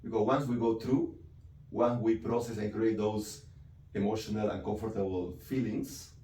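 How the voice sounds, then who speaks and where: A man's voice: fairly low pitch, level tone, moderate pace, mellow. A man from Peru, a psychiatrist explaining his work in an interview, often tagging sentences with "right?" and "you know". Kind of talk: interview answers. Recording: echoey room, mic from a distance, faint noise.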